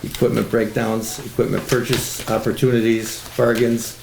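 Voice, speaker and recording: A man reading a formal highway superintendent's report aloud at a meeting. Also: flat delivery, normal speed, creaky. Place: indoor public meeting room. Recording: echoey meeting room, faint noise.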